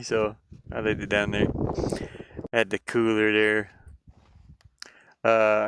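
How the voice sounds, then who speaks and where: A man chatting casually like a streamer, thinking aloud in a halting, rambling way. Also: fairly low pitch, fairly bright tone, smooth. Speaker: streamer and hobby game designer. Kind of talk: casual rambling livestream chat.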